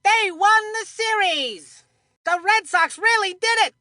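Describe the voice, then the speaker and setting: An actor voicing a theatrical cartoon baseball play-by-play commentator in a kids' video game, with folksy delivery and drawn-out emphasis. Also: very high voice, pushed and bright, no room sound, studio quality, full character voice. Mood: thrilled, disbelieving. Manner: exclamatory, folksy old-fashioned exclamations, drawn-out emphasis. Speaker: sports commentator.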